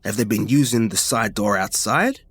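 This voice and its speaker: A man narrating a first-person ghost story in an informal, expressive, conversational way, as a podcast reader. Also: on the low side, normal speed, breathy edge.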